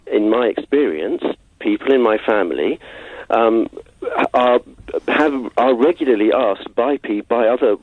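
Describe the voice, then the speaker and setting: A man's voice: medium pitch, a little slow, no room sound. A man calling a radio phone-in, giving his opinion insistently and emphatically in short declarative phrases over a phone line. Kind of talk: impassioned live opinion. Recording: tinny narrow phone line.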